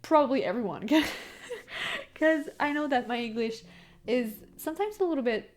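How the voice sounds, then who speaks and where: A young woman from Quebec with a Québécois French accent, speaking English as her second language. She chats to camera in a fast, excitable, rambling way, with lots of fillers and restarts as she stumbles over words. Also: sits high. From Quebec, Canada. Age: young adult. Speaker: tarot content creator. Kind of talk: casual chat to camera.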